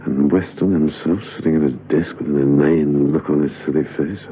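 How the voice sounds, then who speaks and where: An actor playing a thoughtful gentleman spy who muses aloud in halting phrases in a radio drama. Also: extremely low pitch, fairly even intonation. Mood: pensive, puzzled. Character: pensive male gentleman spy puzzling out clues. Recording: muffled old radio recording, faint noise.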